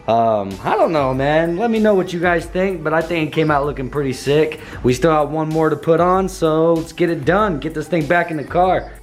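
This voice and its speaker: A young man with a slightly hoarse voice, walking through a car build in a casual vlog and tossing in dude and bro. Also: on the high side, moderate pace, hardly any pauses, soft background music. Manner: laid-back slangy, says dude and bro. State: slightly hoarse, losing his voice.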